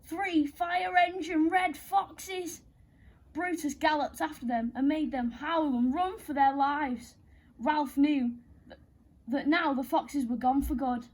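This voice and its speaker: High-voiced woman reading a children's story. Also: fairly even intonation, slow, rough recording. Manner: playful, theatrical, addresses young listeners directly.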